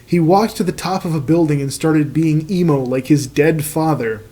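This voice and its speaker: Higher-voiced man, profane comic reader. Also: normal speed, warm tone. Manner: profane, mocking. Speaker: host reading fan fiction aloud. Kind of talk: comic dramatic reading with casual asides.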